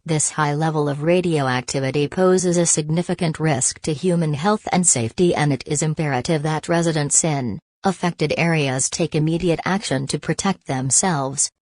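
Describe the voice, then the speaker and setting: Low-pitched, dry female emergency announcer. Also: darkish tone, dry recording. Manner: formal, instructional. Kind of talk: scripted evacuation notice.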